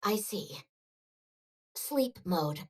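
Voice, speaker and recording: A woman voicing a reserved, practical young girl engineer in a video game, measured and a little hesitant. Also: mid-range voice, animated. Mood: subdued. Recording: music behind the voice.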